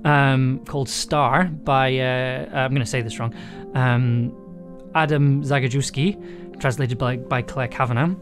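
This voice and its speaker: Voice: medium pitch, fairly even intonation, unhurried. Hesitant, chatty live streamer over faint guitar. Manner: hesitant, many fillers and restarts, laughs easily. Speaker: audio drama writer streaming live. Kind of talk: casual live-stream chat, reading prompts aloud.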